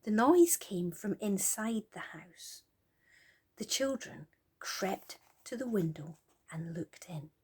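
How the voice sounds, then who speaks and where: A woman tells a bedtime story to children. Her delivery is gentle and highly animated, swinging in pitch and often sinking to a hushed, breathy near-whisper. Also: slow. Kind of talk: expressive bedtime story narration.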